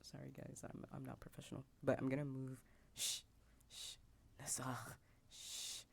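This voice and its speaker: Very low-voiced whispering American woman. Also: completely flat delivery, moderate pace, gravelly, a little hiss or hum. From United States. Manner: soft whisper, rambling with restarts and asides. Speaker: ASMR storyteller. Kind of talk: whispered ASMR roleplay storytelling. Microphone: desk mic lowered near a keyboard. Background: keyboard typing sounds.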